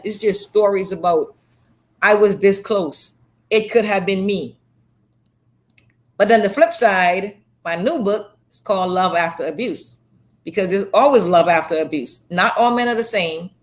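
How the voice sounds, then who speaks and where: A Trinidadian woman speaks in a mid-range voice, blunt and emphatic. She is an author and motivational speaker in a candid interview, driving her points home by repeating them. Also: medium pitch. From Trinidad and Tobago.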